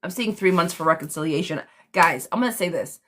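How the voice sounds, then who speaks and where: Hoarse chatty woman reading tarot. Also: lowish voice, animated, fairly quick, fairly bright tone, slight background noise. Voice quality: hoarse, smoky. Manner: chatty, reassuring, calls listeners girlfriend. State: sick with a cough. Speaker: tarot reader. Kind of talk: live tarot reading for viewers.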